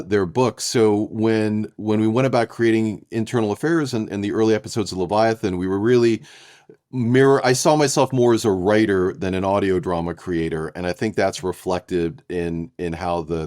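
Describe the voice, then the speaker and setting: A man, a podcast writer and co-creator, talking in a thoughtful, halting way, often restarting his sentences. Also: lowish voice, a little mellow, dry recording, clean studio sound. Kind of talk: casual podcast intro chat.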